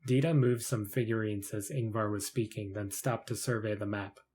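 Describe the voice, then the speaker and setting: A man's voice: lowish voice, steady tone, normal speed, dark tone. A man reading scripted narration for a fantasy short story in the third person.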